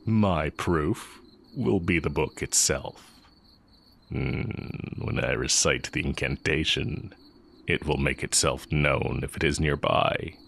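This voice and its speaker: Guy doing a wicked wizard professor, super low voice, takes his time, teasing and secretly lovesick, audio roleplay w a bit of night insect noise. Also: creaky, fairly bright tone, heavily acted. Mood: sly, confident. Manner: measured, deliberate, teasing delivery with drawn-out pauses. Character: evil heretic male magic teacher, sly and secretly lovelorn. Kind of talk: romantic audio roleplay acting.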